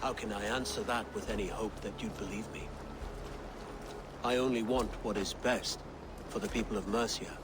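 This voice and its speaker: An actor playing an earnest, diplomatic Saxon nobleman in a video game cutscene, measured and pleading. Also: on the low side, fairly even intonation, background noise, lightly acted. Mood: earnest insistence. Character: earnest, diplomatic Saxon thane, would-be king.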